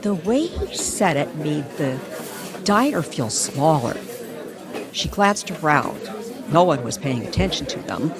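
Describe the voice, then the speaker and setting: A woman's voice: sits low, very animated, a little slow. A woman reading dramatic scripted narration for a story. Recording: crowd chatter behind the voice.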